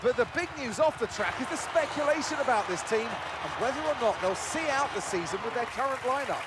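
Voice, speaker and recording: A male motor racing commentator in a video game, pitched high and projecting with polished broadcast delivery. A loud crowd roars behind him. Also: lively intonation, a little fast, very noisy. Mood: upbeat. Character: excited male Formula 1 television commentator. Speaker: race commentator. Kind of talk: sports commentary.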